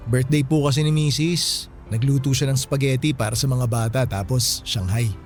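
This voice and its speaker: A man playing a respectful, curious younger married man who listens gently in a Filipino radio drama. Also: mid-range voice, fairly even intonation, moderate pace, lightly acted. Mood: friendly, polite. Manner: polite, deferential, softly inquisitive. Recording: music playing under the voice.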